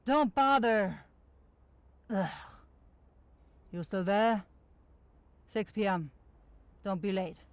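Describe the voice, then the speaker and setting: A woman's voice: mid-range voice, expressive, drawn-out words, pauses often, no room sound. A woman voicing a brusque demon shopkeeper in a fantasy audio roleplay, curt and irritable. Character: brusque demon woman running a soul shop. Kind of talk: fantasy ASMR roleplay acting.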